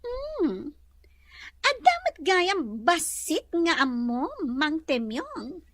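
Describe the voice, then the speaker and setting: A woman's voice: very high voice, sing-song, drawn-out words, a bit bright, no echo, studio quality, exaggerated voice. A woman playing a haughty, bossy young resort owner in an Ilocano audio drama, with an Ilocano accent. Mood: chiding.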